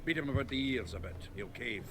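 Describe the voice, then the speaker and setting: An actor playing a gruff, blunt male dwarf card dealer with a gravelly voice, in a video game. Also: mid-range voice, animated, fast talker, a crowd in the background, lightly acted. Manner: blunt and coarse. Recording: game audio with background noise.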